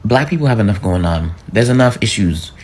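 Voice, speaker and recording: Very deep, husky British man. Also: expressive, brisk, a little mellow. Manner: chatty, lots of "like". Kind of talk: casual reaction video.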